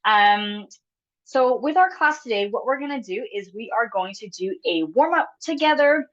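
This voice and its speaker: A woman's voice: a little high, animated, normal speed, a bit bright. Woman w/ a stuffy cold running a zoom workout, upbeat and chatty, kinda dull lo-fi webcam sound. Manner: friendly, encouraging, chatty with fillers. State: has a cold, sniffly. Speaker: exercise instructor. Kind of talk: live online fitness class instruction. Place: home room, video call.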